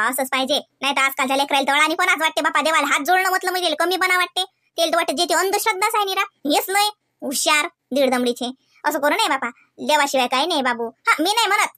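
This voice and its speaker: A high, bright cartoon village aunt acted by a woman, older, devout and fussy. She rambles affectionately and grumbles in a rustic Varhadi Marathi accent. The sound is dry and close. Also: no echo. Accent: Varhadi Marathi from Vidarbha. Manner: colloquial, rambling, coaxing and grumbling. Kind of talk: comedy cartoon dialogue.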